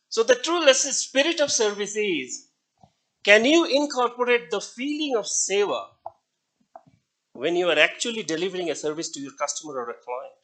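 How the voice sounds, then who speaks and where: Middle-aged indian guy lecturing, high voice that swoops around a lot, keeps quizzing the class. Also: big pitch swings, normal speed, long pauses, bright, forceful tone. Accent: Indian English. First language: Hindi. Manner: asks the class questions, chuckles between points.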